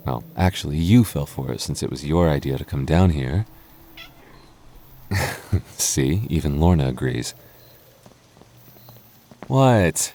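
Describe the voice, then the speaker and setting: A man playing a deep-voiced, stammering goth boyfriend. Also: expressive, slow pace, pauses often, warm tone, a little hiss or hum, heavily acted. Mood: uneasy. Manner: stammering, self-teasing, laughs often. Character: goofy, doting young goth boyfriend, easily rattled. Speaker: voice actor. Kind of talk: ASMR boyfriend roleplay.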